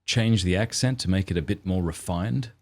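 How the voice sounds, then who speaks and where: Deep-voiced Australian man hosting a podcast. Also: moderate pace, a little mellow. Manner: candid, self-deprecating. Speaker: podcast host. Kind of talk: casual conversation.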